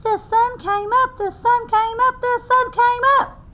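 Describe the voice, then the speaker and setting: A woman voicing an excited, cheeky little male squirrel in a high, chirpy, squeaky voice. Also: a little slow. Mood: gleeful.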